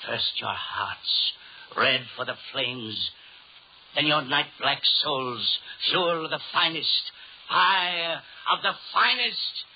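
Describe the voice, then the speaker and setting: An actor playing a gloating devil in a 1930s radio horror drama, with a slow, incantatory, theatrical delivery. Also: male voice, a little high, lively intonation, breathy edge, full character voice. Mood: gloating, menacing. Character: gloating male devil luring souls into the flames. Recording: muffled 1930s radio recording.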